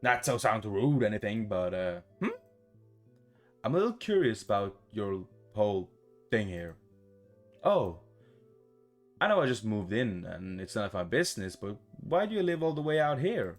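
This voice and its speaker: A shy young visual novel protagonist, read by a man, rambles awkwardly and haltingly. The voice sits fairly low, with guitar music playing behind it. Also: very animated. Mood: curious, teasing.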